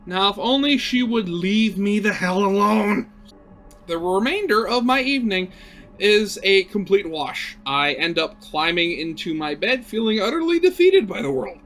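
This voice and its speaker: A man reading a visual novel aloud as expressive narration, dramatic, with brief conversational asides. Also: sits high, normal speed, faint music behind. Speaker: video game let's player reading a visual novel aloud.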